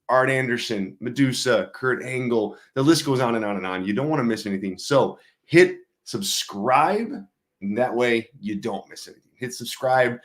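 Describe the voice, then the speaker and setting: A man hosting a live wrestling news show, chatty and upbeat, a bit hoarse from losing his voice. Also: mid-range voice. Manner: upbeat, chatty, many fillers. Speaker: host. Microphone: streaming setup mic.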